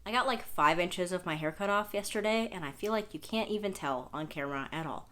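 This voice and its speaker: A young woman, a bit hoarse from losing her voice, chatting casually at home to camera about what she has been reading, full of fillers and self-deprecating asides. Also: fairly low pitch, animated, brisk, a bit bright. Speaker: manga vlogger. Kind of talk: casual review chat to camera. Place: indoors at home, sitting on the floor.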